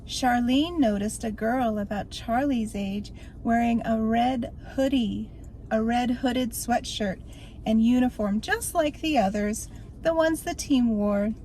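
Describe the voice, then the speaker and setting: A woman's voice: on the high side, a little hiss or hum, low-quality recording. Older woman telling a spooky story. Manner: expressive, theatrical storytelling. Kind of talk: reading a spooky story aloud.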